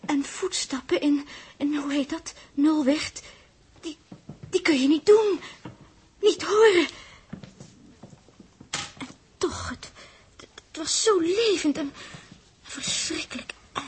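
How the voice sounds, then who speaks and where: A very high-voiced young woman in a 1960s space radio drama. She is anxious and emotional, speaking haltingly and repeating her words as if easily upset. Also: a little hiss or hum. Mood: distressed, intense. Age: young adult. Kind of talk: 1960s radio play acting.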